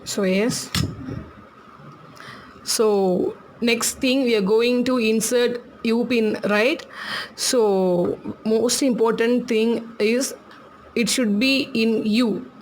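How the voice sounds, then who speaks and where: A woman's voice: fairly high pitch, fairly even intonation, darkish tone, slight background noise. Chatty Tamil-accented woman teaching crafts. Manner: chatty, laughs often, repeats instructions slowly. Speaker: craft tutorial host.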